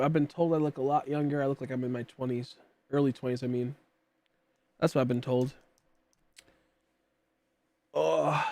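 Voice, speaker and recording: A man in his late twenties chatting casually while streaming a game, rambling and joking about himself as he talks to viewers and a friend. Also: a little high. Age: 20s. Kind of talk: casual livestream chat while gaming.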